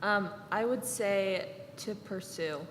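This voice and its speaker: A woman's voice: mid-range voice, slight background noise. Thoughtful young woman, echoey room. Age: 20s. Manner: measured, thoughtful, hesitant. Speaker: podcast guest. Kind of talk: casual podcast conversation. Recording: echoey room with long reverb and faint ambience.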